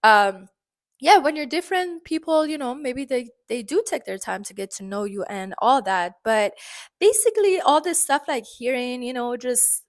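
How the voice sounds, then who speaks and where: Expressive Iranian-accented woman vlogging. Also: moderate pace. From Iran. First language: Farsi. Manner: expressive, chatty, many fillers and sudden pitch jumps. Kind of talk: casual vlog monologue.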